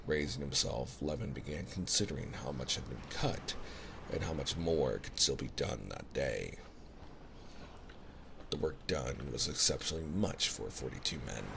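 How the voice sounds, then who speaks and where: Male ASMR storyteller, rock-bottom pitch, unhurried scripted reading, ocean sounds clearly audible behind him. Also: background noise. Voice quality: very deep, gravelly. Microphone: binaural ASMR microphone, close.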